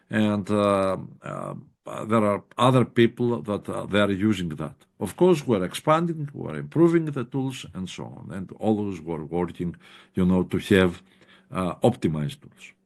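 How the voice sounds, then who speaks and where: Hesitant man on a video call. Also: on the low side. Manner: hesitant, many fillers and "you know". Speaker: presenting researcher. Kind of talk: online seminar Q&A answer.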